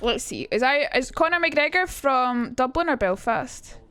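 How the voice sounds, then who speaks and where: Bright-voiced woman streaming. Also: high-pitched, animated, unhurried, clean tone. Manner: chatty, reads chat aloud, jumps between topics, swears. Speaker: streamer teaching accents. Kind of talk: casual live-stream chat.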